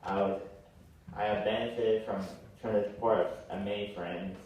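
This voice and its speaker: A young man in his late teens giving a farewell speech in a halting way, stumbling and repeating phrases. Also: lowish voice, fairly even intonation, darkish tone, lo-fi sound. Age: late teens, young adult. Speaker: graduating senior giving a speech. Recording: very echoey hall, distant mic, faint noise.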